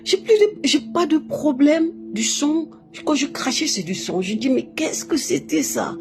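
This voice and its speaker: A woman's voice: sits high, very animated, measured pace, over music. A woman, not a native speaker of the language, talking live to her viewers in an emphatic, repetitive storytelling way. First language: not French. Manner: emphatic, keeps repeating a filler between phrases. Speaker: live stream host. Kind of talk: casual live monologue, personal storytelling.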